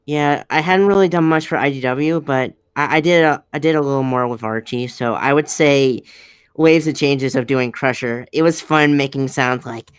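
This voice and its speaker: A man's voice: mid-range voice, moderate pace, clean tone, dry recording. A man chatting casually on an online panel, moderating and speaking as a voice actor in a hesitant, polite way. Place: online panel over voice call.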